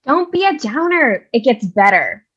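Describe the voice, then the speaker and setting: A woman's voice: on the high side, sing-song, a little slow, a bit bright, slightly rough, heavily acted. A woman playing an irreverent storyteller with a flippant, dismissive manner, narrating in a comic play. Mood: breezy. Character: breezy, irreverent storyteller retelling a classic tale. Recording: slightly dull, lo-fi sound.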